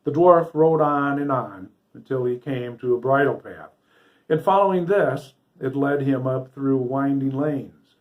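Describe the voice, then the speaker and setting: A man with a mid-pitched voice reads a fairy tale aloud. His storytelling is scripted and dramatic, with phrases set out deliberately for listeners of a story hour. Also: narrow, tinny audio. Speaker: storybook reader.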